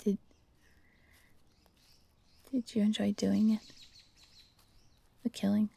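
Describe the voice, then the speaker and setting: A woman voicing an earnest, gentle but defiant young fox-hybrid companion in an audio roleplay, speaking pleadingly and hesitantly. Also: mid-range voice, flat delivery, takes it slowly, creaky, husky, heavily acted.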